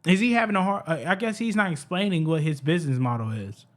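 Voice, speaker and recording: A man with a fairly high voice reacts to a clip in a heated, unpolished rant. He repeats phrases, restarts sentences and swears freely. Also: quick pace, a bit bright. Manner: heated, profane, repeats himself, stumbles over words. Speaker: reaction streamer. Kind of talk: ranting reaction commentary.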